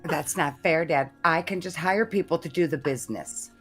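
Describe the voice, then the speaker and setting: A woman's voice: sits low, normal speed, a bit bright, faint music behind. A woman playing a defiant, spoiled rich daughter in a comedy radio drama, dramatic and petulant. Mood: indignant.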